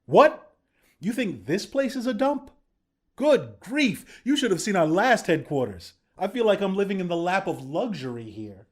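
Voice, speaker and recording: An actor playing an earnest, friendly young rebel leader in a video game, speaking in a sincere, conversational way. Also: male voice, high voice, very animated, moderate pace. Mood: incredulous, griping. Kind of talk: video game character dialogue.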